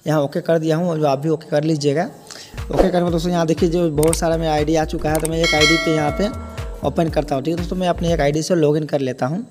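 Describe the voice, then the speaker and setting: Male tutorial host, rapid and highish delivery, informal and repetitive, echoey reverberant recording. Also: level tone, music in the background. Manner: repetitive, addresses viewers as friends constantly. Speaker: host of a tech tutorial channel. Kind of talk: informal step-by-step app tutorial. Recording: echoey hall-like room, faint noise.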